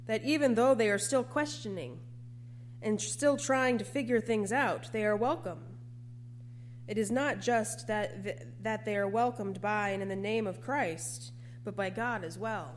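A woman preacher delivering a sermon in a measured, reflective, plain-spoken way. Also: medium pitch, slight background noise.

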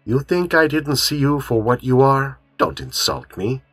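A man's voice: mid-range voice, a little slow, husky, no echo, faint music behind, pitched above the performer's own voice, heavily acted. A man playing a cold, scheming young killer. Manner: calm, contemptuous.

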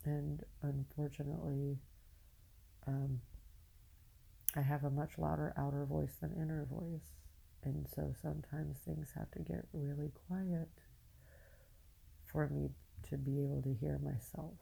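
A woman with a very dark, husky voice talks through her feelings in an unscripted solo podcast. She moves slowly and haltingly, searching for words with long pauses, and sounds downcast and weary. Her delivery is raw and candid, often sinking into a gravelly creak. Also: low voice, level tone, poor audio. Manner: candid, casually profane. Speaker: podcast host. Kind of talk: unscripted personal monologue, confessional.

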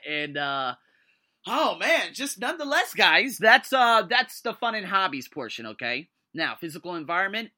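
Hyped up guy in his 30s doing his own motivation podcast, slightly high voice, super punchy and emphatic. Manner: excitable and emphatic, voice leaps up when thrilled. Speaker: podcast host. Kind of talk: motivational solo podcast monologue.

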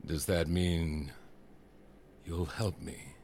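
An actor playing a powerful, menacing schemer in an audio drama, speaking in a gravelly, halting, deliberate way. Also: male voice, low voice, fairly even intonation, a little mellow, slight background noise, exaggerated voice. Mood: quietly menacing. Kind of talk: scripted audio drama acting.

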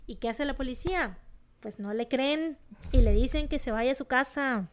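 A woman's voice: sits high, moderate pace. A young woman with a northern Mexican accent from Monterrey, co-hosting a podcast and telling a true crime story in a slangy, emphatic, casual way. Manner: slangy, emphatic, animated outbursts.

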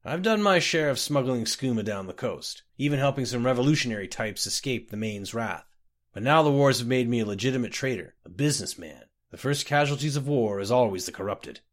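A man's voice: medium pitch, expressive, fairly quick, natural voice. A world-weary elf captain of a small trading boat, acted out by a male narrator. He is a maudlin drunk and former smuggler who slurs and grumbles through a fantasy storybook tale. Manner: slurred, miserable, grumbling. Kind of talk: audiobook character voice.

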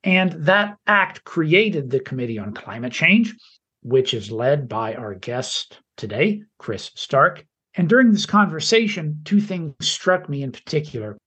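A man co-hosting a podcast, reading an introduction in a measured, slightly halting way. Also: on the high side, sing-song, fairly bright tone, husky, lo-fi sound. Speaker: podcast co-host.

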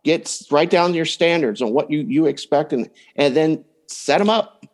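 A man's voice: a little high, normal speed. A man giving conversational advice as a coach and podcast guest, often voicing hypothetical remarks as he explains.

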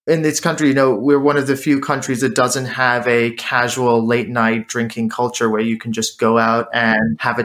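A man with a mixed British and American accent, a guest in an interview, explaining his work in a fluent, lively way. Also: mid-range voice. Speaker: guest, drinks maker. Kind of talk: casual interview talk.

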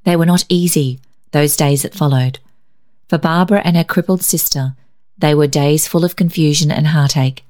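A woman reading a story aloud as scripted narration, in an expressive, measured, dramatic way. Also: sits low. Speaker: story reader.

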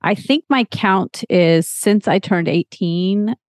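A woman's voice: medium pitch, slow, darkish tone, no room sound, clean studio sound. A woman in her forties from Texas, a lactation counselor who talks openly and earnestly about her own path as a podcast guest. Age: 40s. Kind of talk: podcast interview, personal storytelling.

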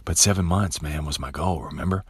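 A man in his late thirties hosting a motivational podcast, talking casually and profanely and tossing in "man" and "bro", with animated bursts and low, quiet asides. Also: brisk, a little hiss or hum. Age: 30s. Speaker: podcast host. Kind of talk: motivational monologue.

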